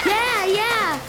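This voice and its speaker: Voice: very high voice, heavily acted, rough recording. A performer playing an eerie, stubborn little boy in an audio horror drama, childlike and contrary. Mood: eerie glee. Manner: childlike, contrary, slow and deliberate. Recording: music and splashing water behind.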